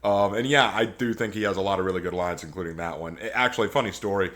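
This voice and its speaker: Male reaction host, slightly low voice, opinionated, loose and rambling casual commentary. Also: quick pace, fairly bright tone.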